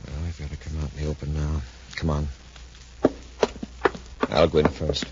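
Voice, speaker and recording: An actor playing a steady, resolute space patrol commander with an authoritative manner in a 1950s radio adventure serial. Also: male voice, extremely low pitch, normal speed. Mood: businesslike. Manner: authoritative, clipped orders. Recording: muffled, faintly noisy 1950s radio recording.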